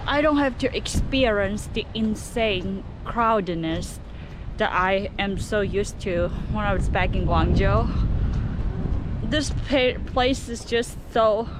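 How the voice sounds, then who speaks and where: Slow-talking young woman with a Cantonese accent. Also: medium pitch. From southern China. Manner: chatty, musing, trailing fillers. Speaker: travel vlogger. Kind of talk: casual travel vlog narration. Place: outdoors on city streets. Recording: outdoor street noise with traffic.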